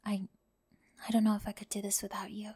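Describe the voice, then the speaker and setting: A woman's voice: medium pitch, completely flat delivery, a little fast, mellow, husky. A woman playing an earnest, nervous young performer in an audio drama, speaking in a hesitant, tender way. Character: earnest, anxious young woman, first-time stage performer. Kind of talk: audio roleplay drama acting.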